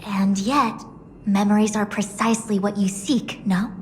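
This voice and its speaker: An actress playing a composed, imperious, analytical woman seer in a game, speaking in a measured, formal way. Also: medium pitch, steady tone, darkish tone, slight background noise, close to an everyday voice. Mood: intrigued. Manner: measured, formal, matter-of-fact. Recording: music behind the voice. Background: music.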